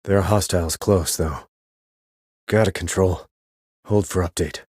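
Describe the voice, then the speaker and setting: An actor playing a terse, cool-headed sniper operative in a video game, with clipped, controlled military delivery and a low husky voice. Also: male voice, fairly even intonation, measured pace, a little mellow, no room sound, studio quality. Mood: focused, controlled. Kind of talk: military radio chatter, game dialogue.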